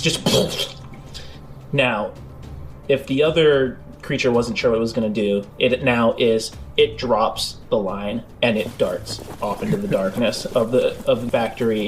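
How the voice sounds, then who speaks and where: A man improvising halting, descriptive narration as the game master of a tabletop role-playing game. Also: mid-range voice. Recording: music bed under the voice. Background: background music.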